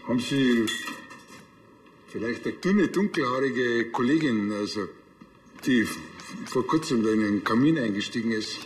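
A man's voice: mid-range voice, moderate pace, long pauses, echoey room, slight background noise, close to an everyday voice. An actor playing a grumpy, sarcastic Bavarian policeman in a TV crime comedy, laconic and colloquial. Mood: laconic, probing. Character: grumpy, sarcastic small-town male policeman. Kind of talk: naturalistic TV crime comedy acting.

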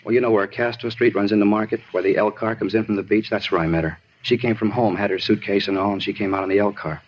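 An actor playing a talkative, disturbed murder suspect in a 1950s radio drama, rambling and insistent in an American accent. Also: male voice, lowish voice, steady tone, fast talker, a little hiss or hum, natural voice. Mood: wistful, rambling. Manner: rambling, repetitive, insistent, plain-spoken. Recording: dull vintage radio recording.

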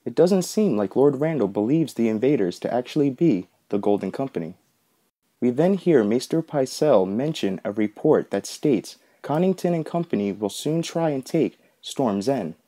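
A man's voice: medium pitch, animated, moderate pace, warm tone. A man narrating a scripted book analysis as the host of a video essay.

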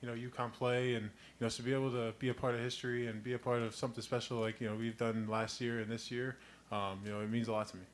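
A young man from Connecticut, a college basketball player, answering press questions in a quick run of "you know" phrases into a table mic. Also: medium pitch, a little hiss or hum. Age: young adult. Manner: quick, flat delivery full of "you know". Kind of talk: postgame press conference answers. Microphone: table mic on a stand.

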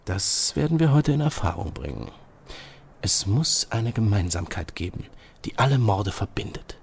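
An actor playing a brilliant, self-assured detective who lectures briskly about his deductions. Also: fairly low pitch, big pitch swings, creaky, darkish tone, breathy edge, exaggerated voice. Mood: lecturing. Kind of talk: audio drama acting.

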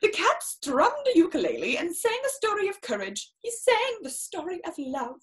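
Woman doing kids story time, really high voice, super swoopy and dramatic, chats between lines. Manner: theatrical, expressive, drawn-out emphasis with exclamations. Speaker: storyteller reading a picture book. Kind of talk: children's story time read-aloud with chatty asides. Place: small room indoors, seated in an armchair.

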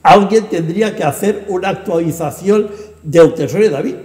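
High-voiced older man in an echoey room. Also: moderate pace, darkish tone. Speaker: podcast guest, translator and editor. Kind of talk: animated interview conversation. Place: indoor book-lined room. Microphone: lapel. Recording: echoey room with long reverb.

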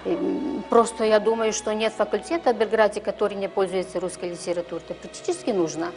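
Middle-aged teacher lady talking slow and careful in a classroom, music in the background. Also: mid-range voice, fairly even intonation, darkish tone. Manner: deliberate, searching for words. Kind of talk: interview answer. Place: classroom, indoors.

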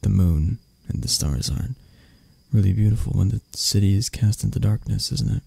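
A man playing an arrogant, prickly CEO boss who turns vulnerable and flirty, in an intimate, halting ASMR roleplay that often drops toward a whisper. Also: fairly low pitch, gravelly, mellow, breathy edge, no room sound, full character voice. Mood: tender, halting.

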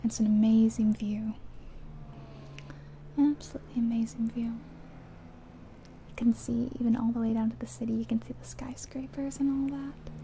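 A woman whispering softly and breathily in an ASMR roleplay as a friendly taxi driver, chatting gently and without hurry. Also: a little high, moderate pace, long pauses. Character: friendly small-town taxi driver giving a guided ride. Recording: steady car and rain noise. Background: car sounds and rain.

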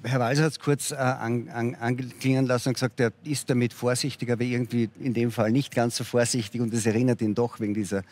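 A man hosting a televised panel debate, speaking in a measured, explanatory way with a medium-pitched voice. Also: flat delivery, darkish tone. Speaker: talk show host. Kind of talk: moderating a live panel debate. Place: large open TV studio.

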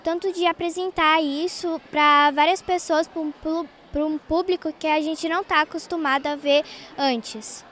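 A woman's voice: sits high, steady tone, normal speed, a bit bright. A teenage girl student answering earnestly, with a small stumble, on a handheld mic. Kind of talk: on-camera interview.

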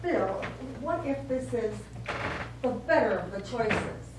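Actress as stubborn, emphatic wife in a stage play. High voice, distant mic. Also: female voice, expressive, slow, very echoey, background noise. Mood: earnest. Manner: emphatic, wordy. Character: stubborn, earnest, argumentative wife. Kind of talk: live stage play acting. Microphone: far camera.

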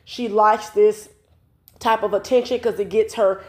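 A woman's voice: medium pitch, steady tone, a little slow, a little mellow. A stuffy-nosed woman in her thirties talks straight into her phone at home, still congested from a cold. She rambles through her opinions with plenty of fillers, casual and sure of herself. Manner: chatty, opinionated, frequent "you know" fillers. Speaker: vlogger host. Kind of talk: casual commentary vlog. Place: home, indoors. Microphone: phone camera close up.

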